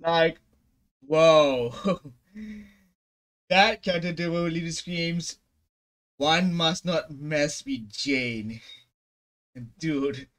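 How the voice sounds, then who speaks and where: A young man hosting a casual gaming reaction video on a headset, with animated, slangy exclamations. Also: a little high, very slow, pauses often. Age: young adult. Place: small room indoors at home.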